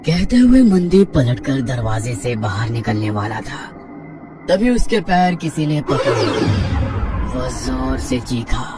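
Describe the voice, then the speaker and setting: A man narrates a scripted horror tale. His delivery moves widely in pitch. The voice sits a little back from the mic, with music clearly behind it. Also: very animated, slow, far from the mic.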